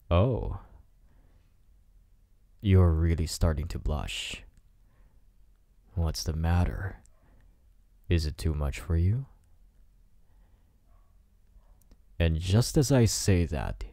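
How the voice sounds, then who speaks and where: Low, slow-talking cocky bad boy, acted by a man. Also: gravelly, dark tone, dry recording, studio quality, full character voice. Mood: softly teasing. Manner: teasing, smug, drawn-out delivery. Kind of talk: intimate ASMR roleplay acting. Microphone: close microphone in a dry space.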